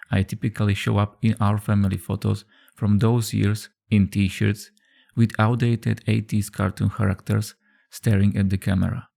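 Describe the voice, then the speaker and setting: A man reading a scripted horror story as a narrator, in a measured, subdued storytelling manner. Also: on the low side, vocal fry, mellow. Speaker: creepypasta narrator. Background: background music.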